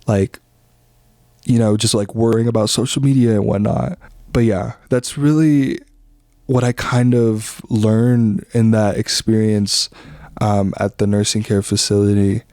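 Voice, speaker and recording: A young man from the Philippines hosts his own podcast, speaking in a low voice that is recorded close and dry. He talks in a loose, easygoing way, full of fillers, slang and restarts. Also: measured pace, no echo. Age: young adult. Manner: relaxed, rambling, heavy with fillers and slang. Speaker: podcast host. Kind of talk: casual reflective monologue.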